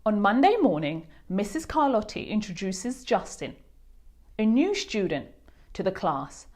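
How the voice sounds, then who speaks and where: A woman hosts a read-aloud for young children. She takes her time with each phrase and lets her pitch move in a lively, expressive way. She speaks directly to little readers as she narrates the storybook. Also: a little slow.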